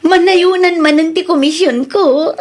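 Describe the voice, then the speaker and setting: An actress with a Filipino accent playing a loving, supportive career wife in an Ilocano radio drama. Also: female voice, extremely high pitch, slow. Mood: delighted, affectionate.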